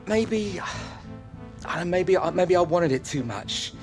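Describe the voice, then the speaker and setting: A guilt-ridden aging football agent. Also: male voice, high voice, lively intonation, takes it slowly, soft background music. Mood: regretful. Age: middle-aged. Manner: pleading, apologetic.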